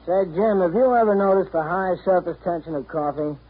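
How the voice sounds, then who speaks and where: A sour, idle layabout in a 1930s radio play. His voice is fairly high and husky, with a dry, muttering delivery. The old recording sounds dull. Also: a little fast. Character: lazy, sour, self-absorbed man, idly sardonic. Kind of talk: 1930s radio drama acting.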